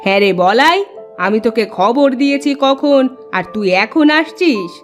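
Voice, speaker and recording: A woman's voice: fairly high pitch, lively intonation, a little slow, soft background music. A woman voicing the bossy mistress of a big house in a cartoon, giving orders. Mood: scolding.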